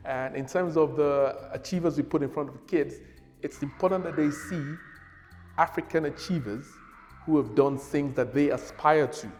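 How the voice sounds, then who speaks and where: A middle-aged man, a native Yoruba speaker, explaining his children's group in a relaxed, casual way on a lapel mic. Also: fairly high pitch, normal speed, in a large hall, soft background music. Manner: relaxed, gesturing, uses fillers. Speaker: organiser of a children's heritage group.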